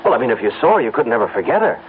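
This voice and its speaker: A man acting a frazzled commuting ad executive who stammers and fumbles when surprised. His voice rises and falls a lot, and the old radio broadcast sounds tinny and narrow, with clear hiss underneath. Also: medium pitch, very animated, racing, lightly acted. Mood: bewildered. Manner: stammering, flustered. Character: weary male ad executive, obsessed commuter. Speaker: lead actor in a radio drama. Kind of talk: 1970s radio drama acting.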